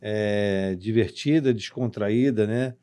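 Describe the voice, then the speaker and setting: Therapist guy interviewing, lots of "né?", mellow voice, dry room. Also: fairly low pitch, takes it slowly, no room sound. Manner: affirming, asks guiding questions. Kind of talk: interview host.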